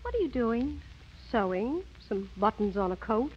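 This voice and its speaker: An actress playing a lovelorn housemaid in 1930s radio comedy style. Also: female voice, on the high side, sing-song, normal speed, husky. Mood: guarded. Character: housemaid secretly pining for the butler. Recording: muffled vintage radio broadcast.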